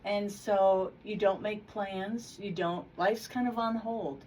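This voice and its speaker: A hoarse woman speaks in a measured, plainspoken way as a candidate in a radio studio. She sits a little back from the microphone. Faint noise hangs behind her. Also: mid-range voice, fairly even intonation, darkish tone, far from the mic, poor audio. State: hoarse from congestion. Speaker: state house candidate. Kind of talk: radio interview.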